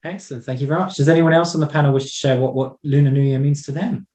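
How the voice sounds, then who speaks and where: A man's voice: a little high, fairly even intonation, rapid-fire, warm tone, reverberant. A man moderating an online panel, politely introducing each guest over a video call.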